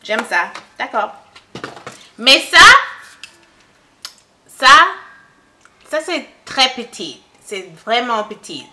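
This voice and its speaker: A young Canadian woman and native English speaker, chatting casually through a makeup tutorial in halting French, searching for words and laughing at herself. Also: on the high side, expressive, sharp tone. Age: young adult. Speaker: beauty vlogger.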